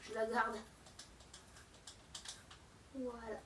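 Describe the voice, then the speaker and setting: A woman's voice: on the high side, flat delivery, drawn-out words, reverberant, recorded from a distance, noisy background. A young woman with a slight cold, chatting to her viewers through a game with lots of fillers. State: has a cold, sore throat. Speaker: gaming YouTuber. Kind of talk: casual gameplay commentary.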